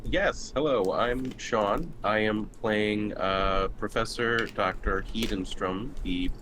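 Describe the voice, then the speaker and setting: A man's voice: on the low side, slow pace. A man who plays tabletop games, chatting casually in a hesitant, agreeable way. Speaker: tabletop game player. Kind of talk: casual chat, character introduction. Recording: noticeable background noise.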